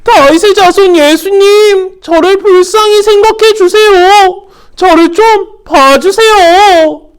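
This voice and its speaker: A desperate blind beggar man, pitched high above a normal speaking voice, calling out slowly and loudly, as a woman storyteller puts him on. He stretches his words into long pleading cries in a storybook telling. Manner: loud, drawn-out pleading cries.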